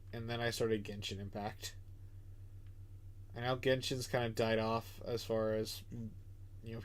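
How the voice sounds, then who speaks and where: A young man with a stuffed-up nose, chatting casually and rambling while he streams a game. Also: mid-range voice. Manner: chatty, rambling, filler-heavy. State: stuffed up, congested. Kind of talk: casual live-stream commentary.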